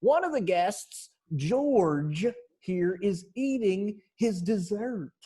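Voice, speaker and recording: A woman's voice: medium pitch, animated, mellow, breathy edge, voice pushed up. A woman voicing a theatrical old-time radio mystery announcer, drawing out the words. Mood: theatrical suspense. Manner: drawn-out, theatrical.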